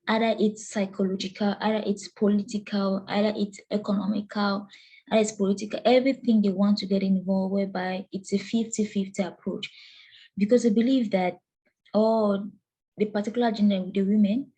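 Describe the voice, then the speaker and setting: A young woman from Cameroon delivers a lecture presentation in a mellow, slightly breathy voice. She talks earnestly, often pausing on fillers and restarting her phrases as she works through her points. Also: medium pitch, little pitch movement, low-quality recording.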